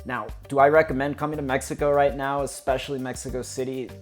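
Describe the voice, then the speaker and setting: A man's voice: mid-range voice, level tone, fast talker, soft background music. An American man chatting directly to viewers as he narrates a travel review of his flight. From United States. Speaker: travel vlogger.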